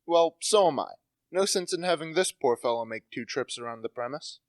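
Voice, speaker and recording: A teenage student actor playing a brisk, assured, well-educated gentleman in an amateur radio play. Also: fairly high pitch, animated, no echo, studio quality, close to an everyday voice. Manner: breezy, assured, clipped questions.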